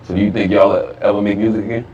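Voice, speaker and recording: A man's voice: fairly low pitch, little pitch movement, fast, darkish tone, lo-fi sound. A man interviewing a guest, asking casual, probing questions. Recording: distant mic, faint noise.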